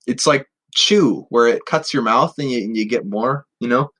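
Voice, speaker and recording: A man's voice: mid-range voice, normal speed, a bit bright. A man co-hosting a podcast with casual, joking banter and exaggerated emphasis. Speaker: podcast co-host.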